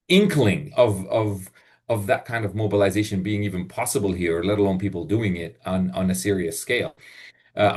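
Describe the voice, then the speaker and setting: A man from Palestine speaking off the cuff as a panelist in an online discussion, halting and repeating words as he argues emphatically. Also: lowish voice. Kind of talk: impromptu webinar discussion. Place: online video call.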